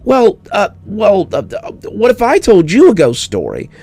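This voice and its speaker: A man voicing a pleading, eager little girl of six who talks haltingly, in a spooky story reading. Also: high-pitched, wildly swooping pitch, a little mellow, slightly rough, voice pushed up, heavily acted. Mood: eager, bargaining. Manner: halting, stammering, old beyond her years.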